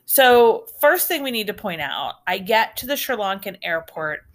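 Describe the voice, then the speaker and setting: A woman's voice: mid-range voice, very animated, normal speed. A middle-aged American woman hosting a podcast, telling travel stories in a chatty, rambling way with restarts and asides. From United States. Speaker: podcast host. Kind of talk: casual storytelling podcast.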